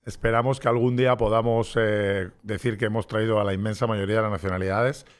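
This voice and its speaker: A man's voice: lowish voice, flat delivery, quick pace, smooth. A man hosting an interview podcast, talking with many hesitations and restarts. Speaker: podcast host.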